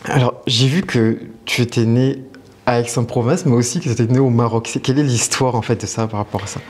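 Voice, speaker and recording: Male interviewer, mid-pitched, slightly husky, short casual prompts. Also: fairly quick, a little mellow. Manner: brief, friendly prompts.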